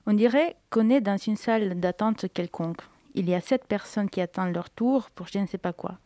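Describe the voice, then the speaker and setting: A young woman, a doctoral student, reading her own prepared literary text on air in a radio studio, in a measured, deliberate way. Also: medium pitch, warm tone, dry recording. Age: young adult. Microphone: studio microphone.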